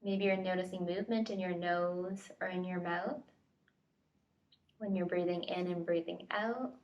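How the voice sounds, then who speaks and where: A woman's voice: fairly low pitch, steady tone, lo-fi sound. Distant-miked woman guiding a meditation. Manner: gentle tentative invitations, unhurried pauses. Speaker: meditation facilitator. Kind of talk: guided body scan meditation instruction. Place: at home, indoors. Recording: home recording, distant mic.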